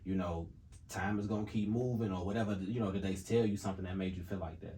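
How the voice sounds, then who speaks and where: A man's voice: deep voice, quick pace. A man hosting a podcast in casual conversation, affirming his guest often and asking long, winding questions. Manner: affirming, lots of "right, right", bursts of loud laughter. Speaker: podcast host. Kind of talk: casual interview chat.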